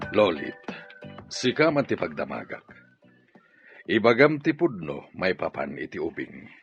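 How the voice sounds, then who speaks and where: A man's voice: sits low, sing-song, measured pace, muffled recording, soft background music, exaggerated voice. An actor playing a troubled husband and father in a radio drama, pressing for the truth. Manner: pleading, questioning.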